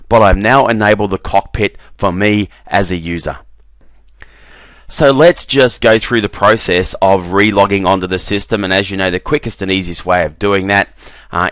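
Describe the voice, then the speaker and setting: Man, low voice, dry close sound, relaxed screen-recording walkthrough with filler words. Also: no echo. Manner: relaxed, repeats "very, very" and fills with "uh". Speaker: software demonstrator.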